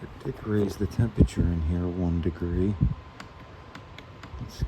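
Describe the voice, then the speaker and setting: A man's voice: sits low, steady tone, a little slow, heavy background noise. A man working as an HVAC technician, talking through a casual work vlog with a stuffed-up, congested voice while thinking aloud. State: stuffed up, under the weather.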